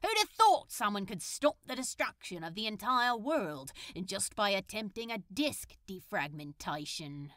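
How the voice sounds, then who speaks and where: Audiobook male superhero voiced by a woman: cocky, casual, wry, sharp-toned, very dry close recording. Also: mid-range voice, very animated, unhurried, hardly any pauses, no room sound. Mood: wry, unimpressed. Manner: casual, teasing, drawling. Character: cocky, sarcastic male superhero, captive but unimpressed. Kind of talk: fan fiction audiobook character voice.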